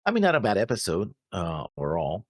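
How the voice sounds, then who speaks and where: A man's voice: sits low, huge pitch swings, quick pace, no echo, clean studio sound. A man co-hosting an anime reaction show, laid-back and agreeable, talking with lots of fillers. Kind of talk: casual discussion.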